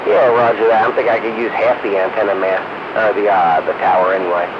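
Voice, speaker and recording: Heavy noise and a muffled signal surround this low male voice. The man talks casually over the radio, feeling his way through sentences in a halting manner. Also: fairly even intonation. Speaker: radio operator.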